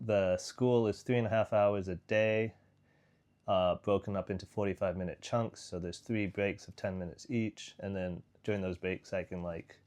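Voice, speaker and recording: A man's voice: low voice, a little mellow. A young man vlogging in a casual, rambling way, full of fillers and restarts. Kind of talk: casual vlog chat.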